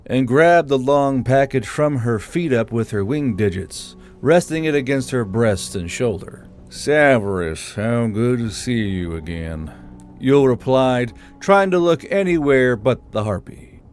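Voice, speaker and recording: A man's voice: mid-range voice, expressive, a little slow, few pauses, a little mellow. A man reading scripted fantasy fiction as an audiobook narrator. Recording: background music under the voice.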